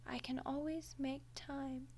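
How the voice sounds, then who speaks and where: Woman playing a high-voiced, teasing ancient archdemoness. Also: flat delivery, a little mellow. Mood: amused, smug. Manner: playful, condescending, unhurried, breaking into whispery asides. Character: ancient female archdemon, amused, teasing, coolly menacing contract dealer. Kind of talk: roleplay ASMR, scripted character monologue.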